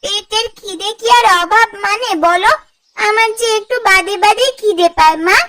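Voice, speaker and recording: A woman's voice: extremely high pitch, moderate pace, sharp tone. A woman voicing a cheeky, hungry little village girl in a fairy-tale cartoon, with a pleading, whiny manner. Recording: music bed under the voice.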